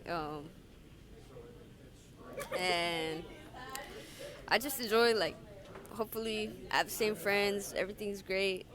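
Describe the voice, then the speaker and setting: A teenage female high school student giving a hesitant, casual interview answer. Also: fairly even intonation, takes it slowly, long pauses. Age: teens. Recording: busy crowd chatter behind.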